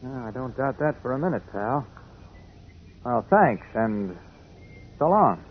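An actor playing a brisk, confident newspaper reporter who questions and commands, in 1940s radio style. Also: male voice, medium pitch, very animated. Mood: insistent, casual. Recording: noisy, muffled old radio recording.

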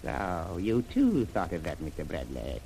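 An actor playing a courteous, shrewd Chinese shipping magnate in a radio adventure, speaking formally and in measured proverbs. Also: male voice, low-pitched, wildly swooping pitch, vocal fry, warm tone, exaggerated voice. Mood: grave, courteous. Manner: formal, measured, proverb-laden, dropping articles. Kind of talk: old-time radio adventure acting. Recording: noisy vintage radio drama recording.

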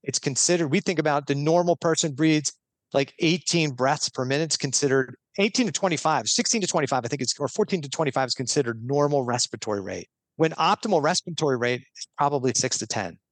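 A man's voice: fairly high pitch, lively intonation, fast, no room sound. A man talking as a guest expert on a podcast, explaining earnestly step by step with a few restarts.

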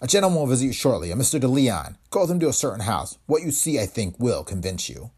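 A man voicing a cold, mysterious caller who makes slow, scornful pronouncements. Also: medium pitch, very animated, darkish tone, breathy edge. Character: cold mysterious male voice on phone.

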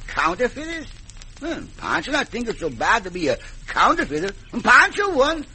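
Comic Mexican cowboy sidekick, very high piercing voice, swooping delivery, broken English, excitable and simple, old radio western. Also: male voice, normal speed, breathy edge, heavily acted. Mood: puzzled. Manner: broken English, refers to himself by name. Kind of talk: 1950s radio western acting. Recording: old radio recording, faint noise.

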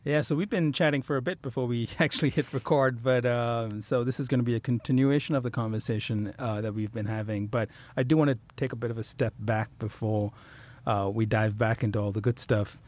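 A man hosting a podcast, reading a scripted intro and then asking questions in a relaxed way with fillers. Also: medium pitch, brisk, hardly any pauses. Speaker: podcast host. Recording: intro with guitar music.

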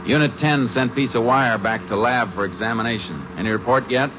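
An actor playing a laconic, methodical Texas Ranger in a 1950s radio drama, terse and matter-of-fact, with an American accent. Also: male voice, mid-range voice, brisk, noisy background, natural voice. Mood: brisk, official. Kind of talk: 1950s radio drama acting and first-person narration. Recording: dull old radio recording, music behind.

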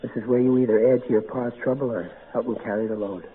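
A man's voice: mid-range voice, steady tone, fast, lightly acted. An actor playing a kindly, plainspoken man in a 1940s radio drama. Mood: gentle, reassuring. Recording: narrow, hissy 1940s radio broadcast.

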